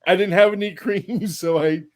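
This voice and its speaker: A man's voice: high-pitched, steady tone, moderate pace, a little mellow. A man co-hosting a casual talk show, chiming in with short reactions. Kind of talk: casual chat.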